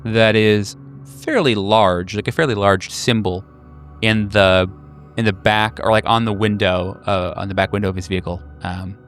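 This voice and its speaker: A man's voice: lowish voice, steady tone, normal speed, fairly bright tone, soft background music. A man improvising narration as game master, casual and hesitant, rephrasing as he goes.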